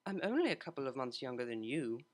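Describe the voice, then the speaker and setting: A man voicing a refined, polite young female pony cellist with a proper, dry, gently wry manner in a story reading. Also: medium pitch, sing-song, fast, close to an everyday voice. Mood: dryly skeptical. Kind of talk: fan fiction audiobook character voice.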